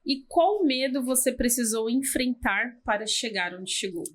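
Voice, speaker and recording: Brazilian woman host, thirties, slightly high voice, short encouraging replies. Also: expressive, unhurried. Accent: Brazilian Portuguese. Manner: brief, encouraging replies, light laughs. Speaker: podcast host. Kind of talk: interviewing.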